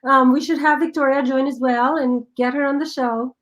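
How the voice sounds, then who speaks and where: A woman with a foreign accent chatting casually on a live stream, excitable and quick to laugh, often restarting her sentences. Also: high voice. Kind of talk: casual chat.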